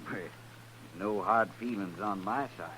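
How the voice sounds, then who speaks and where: An actor playing a sly, smooth-talking cattle cowboy with a rural American accent in an old-time radio western. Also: male voice, fairly low pitch, measured pace, fairly bright tone. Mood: sly, affable. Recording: noisy old radio recording.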